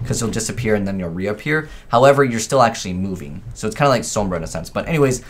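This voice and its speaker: A man gives casual gameplay commentary in a fairly low voice. His delivery is informal and a bit rambling, as he thinks aloud for his viewers. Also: animated, fast, a little hiss or hum. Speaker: gaming video host.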